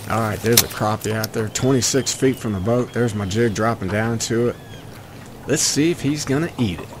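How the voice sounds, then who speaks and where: A man's voice: fairly low pitch, normal speed. A middle-aged man giving enthusiastic, folksy running commentary while fishing, in a casual way. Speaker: fishing vlogger. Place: outdoors on a small open boat on a lake. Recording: clear outdoor wind and boat noise.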